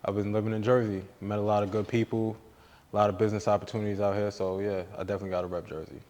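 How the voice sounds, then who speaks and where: A man from New York, a guest entrepreneur, talking casually about fashion in an easygoing, slangy way. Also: lowish voice. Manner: relaxed, drawn-out, easygoing slang. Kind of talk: casual interview chat. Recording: long reverb in the room.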